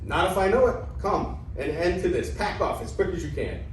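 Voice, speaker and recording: Echoey distant room, guy playing a scoffing crafty old greek dad, highish voice, stage comedy reading. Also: very animated, brisk. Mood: scornful, pompous. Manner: pompous, scoffing, theatrical. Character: crafty, mocking old Greek father, a blustering cheat. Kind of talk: amateur dramatic reading of classical comedy. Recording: echoey room, distant mic, faint noise.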